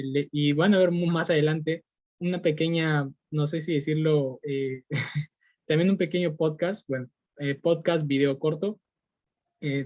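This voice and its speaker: Peruvian man, young adult, podcast host, slightly high voice, earnest, hesitant fillers, dull lo-fi sound. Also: darkish tone, dry recording. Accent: Peruvian Spanish. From Peru. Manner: earnest, repetitive, many fillers and restarts. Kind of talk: motivational podcast monologue. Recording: dull lo-fi recording.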